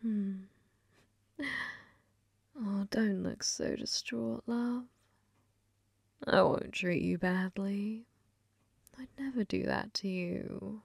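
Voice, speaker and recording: A woman voicing a flirty, possessive female demon gambler in an ASMR roleplay, breathy and often whispering, teasing with sweet menace. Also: medium pitch, darkish tone, no echo, exaggerated voice. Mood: gloating, tender. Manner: slow, teasing, calls listener love. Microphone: close microphone, dry.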